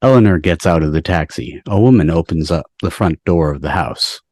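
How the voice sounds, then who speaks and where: A man's voice: low-pitched, lively intonation, a little fast, a little mellow, dry recording, studio quality. A man on a video call reading stage directions as narrator of an amateur table read.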